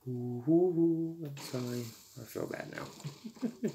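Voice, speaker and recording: A man's voice: a little high, slow, mellow, a little hiss or hum. Teenage boy chatting over gameplay. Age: teens. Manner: chatty, laughs often, apologetic. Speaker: gamer streamer. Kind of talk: casual gameplay commentary.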